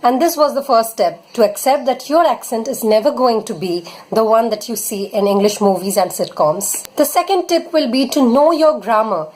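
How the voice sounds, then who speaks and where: A woman's voice: a little high, lively intonation, normal speed, few pauses, darkish tone, poor audio. A young Indian woman with an Indian accent, an English tutor giving earnest spoken tips in a vlog lesson. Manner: earnest, advising, leans on fillers like "basically" and "honestly".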